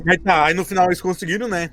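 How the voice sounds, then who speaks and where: A Brazilian man chatting casually on a podcast as he recaps a tabletop game, joking and quick to laugh. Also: high-pitched, a bit bright. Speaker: game master of a tabletop RPG campaign, podcast member.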